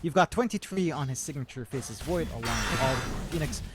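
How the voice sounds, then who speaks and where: A man casting a live esports match, breaking down the play with brisk analysis and joking asides. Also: mid-range voice, animated, loud music behind the voice. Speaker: esports caster. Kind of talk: live game commentary.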